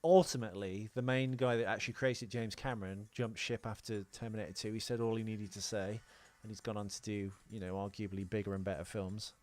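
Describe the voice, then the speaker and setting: A man's voice: lowish voice, steady tone, a little fast, few pauses, darkish tone. A man co-hosting a podcast, chatting casually about film trivia in a bantering, teasing way. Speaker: podcast co-host.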